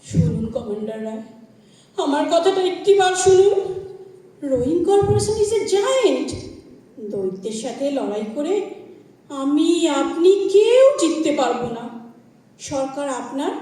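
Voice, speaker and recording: A defensive, authoritative woman director of a government inquiry in a radio play, acted by a woman. Her voice is very high but soft-toned, with formal, dramatic phrasing. The room around her rings with strong echo. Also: big pitch swings, measured pace, long pauses. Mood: pleading. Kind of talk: Bengali audio drama acting. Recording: very echoey room.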